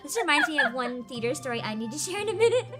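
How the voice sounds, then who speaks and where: A woman hosting a casual chat stream, telling embarrassing stories in a high voice and laughing often. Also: very fast. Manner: giggly, laughs often, animated storytelling. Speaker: VTuber streamer host. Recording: music playing behind.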